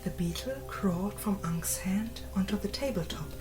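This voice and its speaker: A woman narrating a fantasy audiobook in a soft, breathy, hushed voice. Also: medium pitch, normal speed. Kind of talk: scripted narration. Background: faint music.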